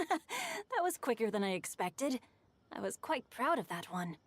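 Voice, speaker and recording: A woman voicing a composed, gently teasing young poet in an anime game. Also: a little high, huge pitch swings, unhurried, exaggerated voice. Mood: amused.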